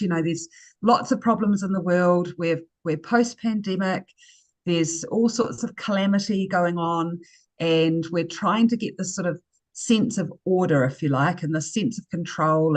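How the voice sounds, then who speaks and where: A woman's voice: lowish voice, no echo. A woman hosting an interview, enthusiastic, with fillers and tag questions like "right?". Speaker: host. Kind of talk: casual interview.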